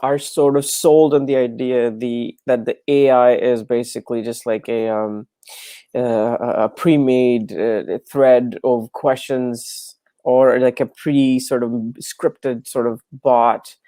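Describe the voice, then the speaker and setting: A man's voice: medium pitch, fairly even intonation, takes it slowly, dark tone, no room sound. A young man from Dubai and Pakistan, a startup founder talking on a video call with lots of ums and restarts. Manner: hesitant, many fillers and restarts. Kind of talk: casual video interview. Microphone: wired earphones on video call.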